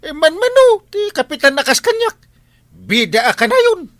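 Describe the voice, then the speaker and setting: A man's voice: very high-pitched, big pitch swings, moderate pace, fairly bright tone, no room sound, heavily acted. A man with an Ilocano accent acting a lazy, vain, blustering village captain in a radio comedy drama. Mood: boastful bluster.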